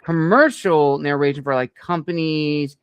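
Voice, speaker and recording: A man's voice: a little high, a little slow, clean tone, no room sound, muffled recording. A man hosting an interview show, speaking conversationally as he leads into questions for his guest.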